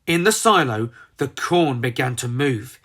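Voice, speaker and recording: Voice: mid-range voice, expressive, unhurried, a bit bright. A storyteller narrating a children's book in a theatrical, emphatic manner. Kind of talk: dramatised storybook narration with character voices.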